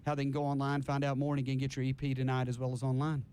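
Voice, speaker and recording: A man's voice: medium pitch, monotone, very fast, darkish tone, slight background noise. A man hosting a casual live radio interview, rambling on enthusiastically in a studio. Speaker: radio host.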